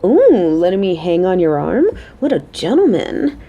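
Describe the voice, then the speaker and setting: Woman acting a playful, motherly coworker with mellow voice. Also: lowish voice, big pitch swings, moderate pace, muffled recording. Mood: flirty teasing.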